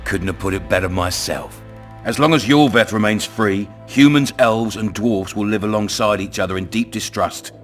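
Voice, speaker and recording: An actor with a British accent playing a crude, cunning, menacing town commandant in a video game. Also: male voice, on the low side, normal speed, faint music behind, lightly acted. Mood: sly, bitter. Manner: domineering, coarse, swaggering. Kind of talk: video game dramatic dialogue.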